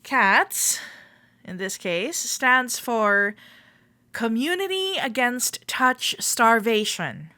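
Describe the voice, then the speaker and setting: A woman playing a friendly, playful club member in a roleplay audio, gently reassuring a shy newcomer as she speaks directly to the listener. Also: on the high side, big pitch swings, very slow, no echo, full character voice. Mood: reassuring, coaxing. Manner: gentle, reassuring, playful, hesitant soft-spoken chattiness.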